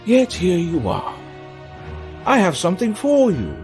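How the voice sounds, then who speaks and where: An actor playing a seductive, menacing villain in an audio drama, with a drawling, theatrical, teasing delivery. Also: male voice, high voice, huge pitch swings, a little slow, darkish tone, faint music behind, heavily acted. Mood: playful, coaxing.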